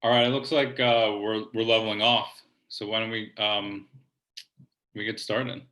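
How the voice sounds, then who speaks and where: A man hosting a virtual poetry reading over a video call from home, relaxed and hesitant, with many um and uh fillers. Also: on the low side, normal speed. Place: home, kitchen table or similar room.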